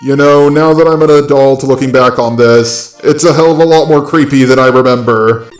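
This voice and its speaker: A man's voice: mid-range voice, normal speed, echoey room, faint music behind. A man narrating scripted, opinionated commentary on fiction in a sardonic, casually crude way, with a robotic effect on his voice. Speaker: video essay narrator.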